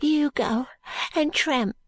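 A woman voicing a frightened, ragged London street boy in an audiobook, with a Cockney accent and hushed, halting, uneducated speech. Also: sits high, no room sound, voice pushed up. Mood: wretched, defensive.

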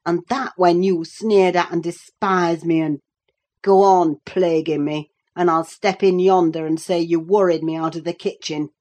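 A woman voicing a gruff, proud, quick-tempered young country man in an audiobook, growling in rough dialect. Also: lowish voice, fairly even intonation, a little mellow, close to an everyday voice. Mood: bitter, indignant. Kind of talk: audiobook character acting.

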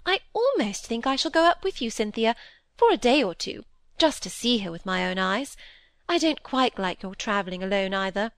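Woman doing a gushing middle-aged stepmother: affected, fussy, swoops high, dry close read. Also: big pitch swings, normal speed, gravelly, a bit bright, no room sound, heavily acted. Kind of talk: audiobook character reading.

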